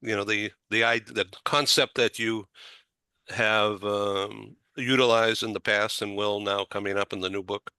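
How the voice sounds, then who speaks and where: An older man hosting a casual panel chat, halting, putting questions to the guests. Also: fairly low pitch, steady tone, sharp tone, smooth, no echo. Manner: slow, halting, questions with rising lift. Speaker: talk show host.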